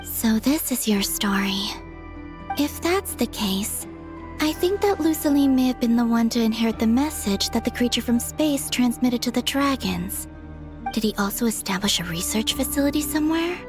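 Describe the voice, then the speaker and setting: Woman voicing calm perceptive young game heroine, highish soft voice, measured n thoughtful. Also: music in the background, natural voice. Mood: thoughtful, analytical. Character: calm, perceptive young woman leading an investigation, gently kind. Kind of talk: video game voice acting.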